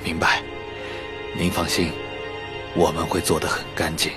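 An actor playing a deferential, discreet and coolly sinister family servant in an audio drama, measured and obsequious. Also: male voice, low voice, flat delivery. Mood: discreetly sinister. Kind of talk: Chinese audio drama acting. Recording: soft music behind the voice.

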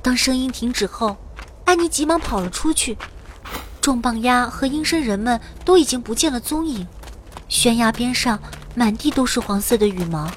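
A woman playing a young heroine who recounts her party's fantasy adventure in vivid, expressive audio drama narration. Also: a little high, normal speed, slight background noise, lightly acted. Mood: tense narrating.

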